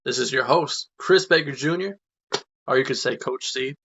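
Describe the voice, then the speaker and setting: A man hosting an interview podcast with a gravelly, forceful edge to his speech. He sounds lively and eager, chatting as he draws out his guest. The sound is a little dull and lo-fi. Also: medium pitch, normal speed. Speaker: podcast host.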